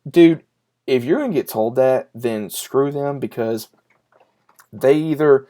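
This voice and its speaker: A man in his late twenties chatting casually and unscripted to his viewers, speaking earnestly and emphatically, with repeated phrasing and frequent pauses. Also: mid-range voice, warm tone. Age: late 20s. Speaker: YouTube vlogger and collector.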